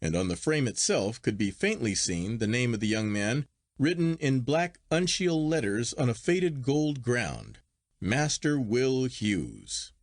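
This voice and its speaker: A man reading a literary story aloud as an audiobook narrator, in a measured, articulate and expressive storytelling manner. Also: mid-range voice, no room sound, studio quality. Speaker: audiobook reader.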